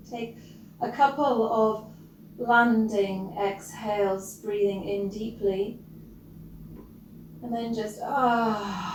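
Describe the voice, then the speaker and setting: Slow-spoken woman guiding meditation, distant and noisy. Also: a little high. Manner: gentle, instructional, with long pauses between short phrases. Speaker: yoga or meditation teacher. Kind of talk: guided breathing meditation. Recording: roomy, distant mic with loud background noise.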